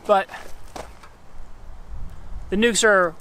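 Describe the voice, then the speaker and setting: A middle-aged man working as a beekeeper, calmly talking to himself outdoors on a farm while he works. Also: high-pitched, drawn-out words, a little hiss or hum. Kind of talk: casual hands-on narration.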